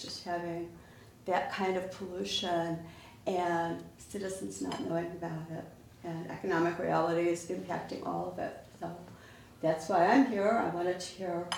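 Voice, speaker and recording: An older woman from Illinois introducing herself as a guest to a class, talking slowly and haltingly. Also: fairly low pitch, fairly even intonation, gravelly. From Waukegan, Illinois. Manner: slow, halting, with soft laughs. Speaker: guest, environmental campaigner. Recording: echoey classroom from far off, faint noise.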